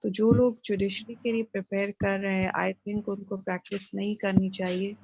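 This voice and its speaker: A Pakistani woman speaks as a student putting forward an opinion in class. Her medium-pitched voice has clear vocal fry, and the sound is dry and close. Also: level tone, a little mellow, no echo, poor audio. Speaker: law student. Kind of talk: offering an opinion in class, mixing in English.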